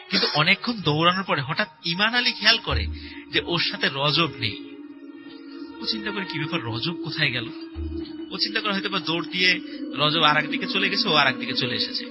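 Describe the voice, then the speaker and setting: A man telling a horror story conversationally as a guest, in a halting, repetitive, suspenseful way. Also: fairly high pitch, rough recording. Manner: halting, repetitive, suspenseful retelling with filler words. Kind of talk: spoken horror story told conversationally to a host. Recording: voice over prominent background music. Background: music.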